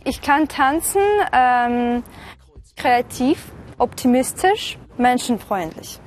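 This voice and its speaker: A woman's voice: fairly high pitch, slow. A woman in her twenties with a Russian accent, introducing herself. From Siberia, Russia. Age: 20s. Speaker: student contestant. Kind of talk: casual self-introduction. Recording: music bed underneath.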